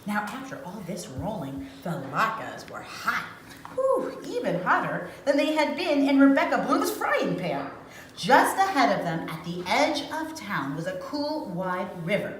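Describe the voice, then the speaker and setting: A woman reading a children's storybook aloud with dramatic, expressive phrasing, as a storyteller. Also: mid-range voice, measured pace. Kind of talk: animated storybook read-aloud. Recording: echoey room with faint noise.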